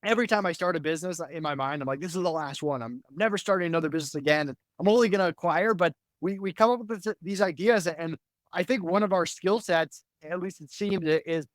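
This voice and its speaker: A man in his thirties, a candid entrepreneur guest, speaks in a fairly high voice. He talks quickly and loosely, with many fillers. The sound is dry and close to the mic. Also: no echo. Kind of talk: conversational interview.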